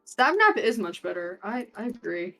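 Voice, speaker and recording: A woman's voice: mid-range voice, sing-song, moderate pace, a bit bright, soft background music. A young American woman chatting casually as a debate candidate, giggly and apologetic. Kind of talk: casual chat.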